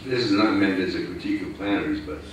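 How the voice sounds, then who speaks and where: A man's voice: deep voice, fast, slight background noise. A man from the audience commenting at a conference, stammering and unsure. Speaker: audience member. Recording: echoey hall, distant mic.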